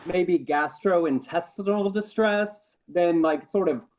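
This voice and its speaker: A man's voice: high voice, slow pace, noisy background. A man giving a conversational news interview as an eyewitness. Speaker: interviewee, airline passenger. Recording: dull, muffled interview audio.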